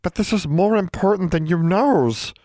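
A man voicing a wizened old female house elf servant, anxious and stern, high-pitched and speaking of herself in the third person. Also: huge pitch swings, measured pace, no room sound, exaggerated voice. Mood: stern, pained.

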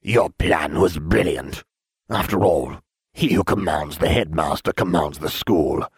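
Husky, very deep dark lord villain. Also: male voice, no room sound, clean studio sound, exaggerated voice. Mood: smug, grandiose. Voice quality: husky, very low voice. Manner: slow, imperious delivery. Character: menacing dark lord villain, grandiose and betrayed. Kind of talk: audiobook character voice.

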